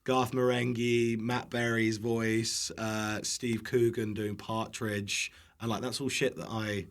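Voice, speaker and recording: A man's voice: on the low side, flat delivery, slow. A British man chatting casually as a podcast guest, informal, self-deprecating and happy to swear. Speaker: podcast guest, online cooking content creator. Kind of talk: casual chat.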